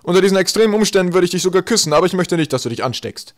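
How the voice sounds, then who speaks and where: Man putting on a loud, pompous father. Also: high-pitched, lively intonation, racing, voice pushed up. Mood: solemn. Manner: pompous, emphatic. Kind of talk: comic children's audiobook character.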